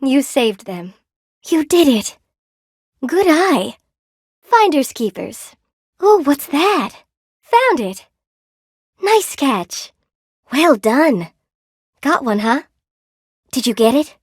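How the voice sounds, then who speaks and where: A woman voicing a friendly, gentle spider-woman seamstress in a video game, sweet and polite, eager to please and a little lonely. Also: high voice, big pitch swings, extremely slow, pauses often, no room sound, studio quality, full character voice. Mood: encouraging. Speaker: game character, shopkeeper. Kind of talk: video game voice acting, short character barks.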